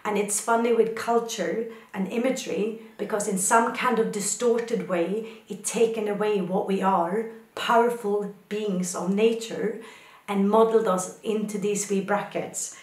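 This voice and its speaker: A woman's voice: medium pitch, fairly even intonation, a little slow, darkish tone, roomy sound. A woman from Sweden, a photographer, answering questions in a reflective personal talk with thoughtful, poetic phrasing.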